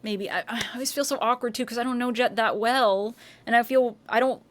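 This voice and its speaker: A woman's voice: fairly high pitch, fairly even intonation, fairly quick. An American woman, a streamer and voice actor, chatting casually and rambling, with frequent restarts, swearing and laughter. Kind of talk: casual live-stream chat.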